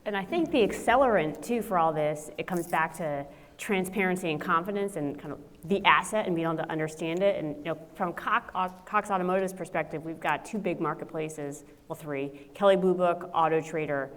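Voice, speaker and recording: A woman's voice: on the low side, a little fast. Fluent woman panelist in a reverberant hall. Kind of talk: conference panel talk.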